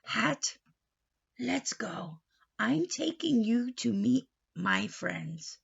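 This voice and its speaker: A woman's voice: fairly high pitch, big pitch swings, very slow, vocal fry, slightly rough, dull audio, exaggerated voice. A woman voicing a weary, exasperated grown man from a storybook, with an emphatic, fretful manner. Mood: relieved.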